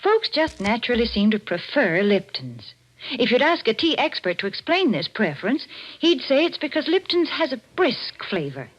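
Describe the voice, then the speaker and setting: Lively, high-voiced radio pitchwoman. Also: normal speed. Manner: cheery, emphatic, persuasive. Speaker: radio show sponsor announcer. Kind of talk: 1940s radio advertising patter. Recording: muffled old-time radio recording.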